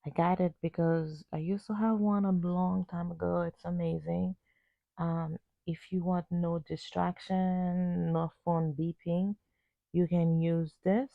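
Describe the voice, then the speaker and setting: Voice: medium pitch, level tone, slow, vocal fry, no room sound. An amateur reviewer of unclear sex giving a casual unboxing voice-over in short, plain, slightly hesitant sentences. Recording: muffled phone-like narrow sound.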